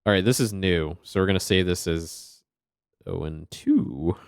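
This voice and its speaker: Deep-voiced man streaming a game. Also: a little slow, creaky, smooth, no echo. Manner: chatty, thinks aloud, swears. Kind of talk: casual gameplay commentary.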